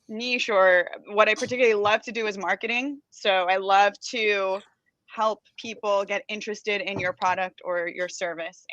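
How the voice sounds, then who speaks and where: An American woman from Los Angeles, a guest entrepreneur speaking softly, with easy laughs and some hesitant fillers. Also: medium pitch, no room sound. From Los Angeles, United States. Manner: soft-spoken, laughs easily, hesitant fillers. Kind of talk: casual interview chat. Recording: dull lo-fi dry recording.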